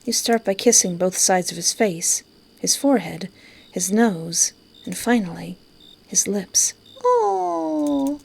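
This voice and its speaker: A woman's voice: medium pitch, very animated, slow pace, creaky, a little mellow, a little hiss or hum. A woman reading a visual novel aloud expressively, with playful, giggly reactions. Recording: music behind. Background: game music.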